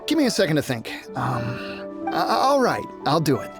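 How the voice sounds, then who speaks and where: An export trader in a game, halting n sorry, not keen, easily rattled, bouncy intonation, music under it. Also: male voice, on the high side, huge pitch swings. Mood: flustered. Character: unenthusiastic male export trader, easily flustered. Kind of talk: scripted video game dialogue.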